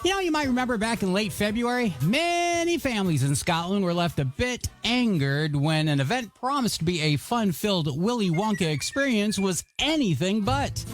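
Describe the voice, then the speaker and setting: Male music-radio host. Showy, highly animated announcer patter, pitched high, over a music bed. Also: moderate pace, hardly any pauses. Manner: showy, swooping announcer delivery.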